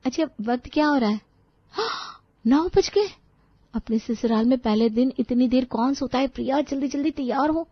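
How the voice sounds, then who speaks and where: A woman voicing a cheerful, chatty young newlywed daughter-in-law in a storybook tale, excitable and girlish. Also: high voice, normal speed, slightly rough, dry recording, lo-fi sound, voice pushed up. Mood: flustered.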